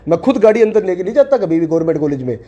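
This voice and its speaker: A man's voice: sits high, very animated, racing, mellow. A young man with a Haryanvi accent giving earnest, insistent advice on a recorded phone call, repeating himself as he lectures. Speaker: student leader.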